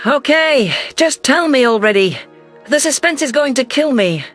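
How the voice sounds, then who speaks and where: An exasperated alien stateswoman, acted by a man, speaks in a very high, anxious voice. It is a sci-fi audio drama with faint music underneath. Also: lively intonation, normal speed, a bit bright. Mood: impatient.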